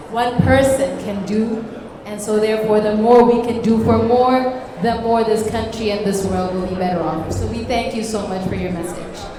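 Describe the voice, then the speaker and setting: A woman emceeing a fundraising gala, effusively thanking the speaker and announcing sponsors. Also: a little high. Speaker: event emcee. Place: banquet hall. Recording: distant mic in echoey hall with crowd. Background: audience murmur.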